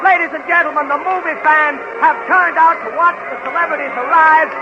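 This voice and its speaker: An actor playing an excited premiere announcer in 1930s radio style, proclaiming grandly. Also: male voice, very high voice, a little fast, over music. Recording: noisy, muffled 1930s radio recording.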